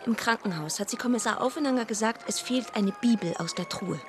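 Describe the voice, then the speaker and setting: An actress playing a discreet, thoughtful deaconess who speaks in short phrases in a radio play. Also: female voice, on the high side, a little fast, over music, natural voice. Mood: puzzled.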